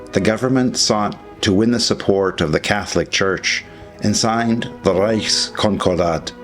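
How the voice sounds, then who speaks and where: A man with a notably low voice delivers scripted historical narration in the role of a documentary narrator. His lines are read as prepared text, with faint music running behind the voice.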